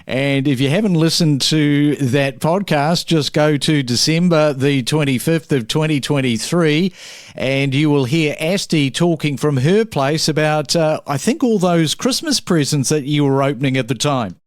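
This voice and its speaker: A man's voice: a little high, measured pace, dry recording, clean studio sound. A man, hosting and interviewing on a breakfast podcast. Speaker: podcast host.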